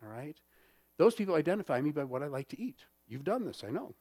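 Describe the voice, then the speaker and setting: A man's voice: a little high, big pitch swings, quick pace, darkish tone, slightly rough, no echo. A man preaching a sermon in a church, talking in a conversational way and often checking in with the congregation. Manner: conversational, many fillers and check-ins. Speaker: pastor.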